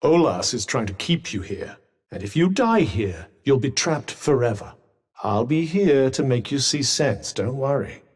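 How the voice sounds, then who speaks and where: A man's voice: a little high, expressive, moderate pace, recorded from a distance. A man with a British accent voicing a talking magic cuff in a video game, a dry, eloquent companion who coaches through battle. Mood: grave, cautioning. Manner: sardonic, eloquent, urgent coaching.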